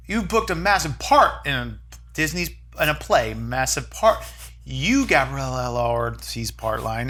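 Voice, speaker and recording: A man hosting a relaxed at-home chat, his voice lively and full of swings. The tone is bright and clear, and he rambles and jokes around easily. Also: fairly high pitch. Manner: playful, rambling, joking. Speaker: host. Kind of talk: casual chat.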